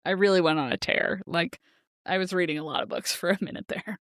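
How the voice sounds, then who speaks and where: Lower-pitched woman, giggly book chat. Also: a little fast, fairly bright tone, dry recording, clean studio sound. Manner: giggly, enthusiastic. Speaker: podcast co-host.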